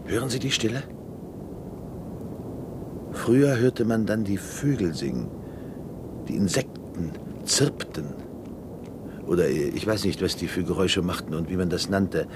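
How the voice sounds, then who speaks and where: An actor playing a wistful older deputy in a 1970s German science-fiction radio play, musing hesitantly with a touch of irony. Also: male voice, lively intonation, a little slow, long pauses. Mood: wistful wonder. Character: wistful, wondering older male deputy with stalled career. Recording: noisy 1970s radio drama recording, rumble.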